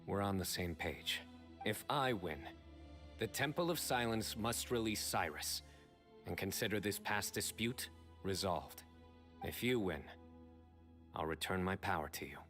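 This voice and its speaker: A stern young warrior in a video game. The voice sits low and stays calm and serious, with terse, resolute delivery. Music runs softly behind it. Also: male voice, unhurried, long pauses.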